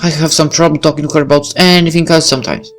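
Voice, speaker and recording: Man as a cheeky, higher-voiced teenage girl. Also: fairly even intonation, quick pace, fairly bright tone, faint music behind. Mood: friendly, casual. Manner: casual, teasing. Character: outgoing, cheeky teenage schoolgirl. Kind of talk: visual novel character voice.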